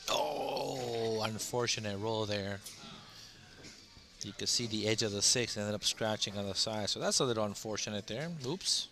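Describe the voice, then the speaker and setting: Gravelly-voiced man calling a pool match. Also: on the low side, moderate pace, soft background music. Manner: relaxed play-by-play, gravelly. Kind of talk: live stream commentary. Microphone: headset mic.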